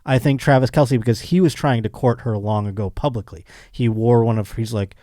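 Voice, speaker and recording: A man's voice: mid-range voice, fairly even intonation, a little fast, mellow, no echo, studio quality. A man hosting a casual comedic podcast, rambling and joking as he speculates. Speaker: podcast host.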